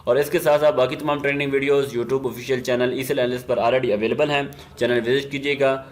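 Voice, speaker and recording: A man with a Pakistani accent presenting an instructional software demo, explaining step by step and mixing in English business terms. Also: medium pitch, fairly even intonation, quick pace.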